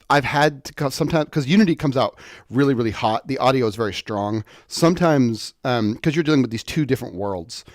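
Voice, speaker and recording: A man with an average-pitched voice walks through a technical tutorial in a dry, close recording. He explains informally and off the cuff, often halting to think aloud and rephrase. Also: darkish tone, dry recording. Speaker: product tutorial presenter.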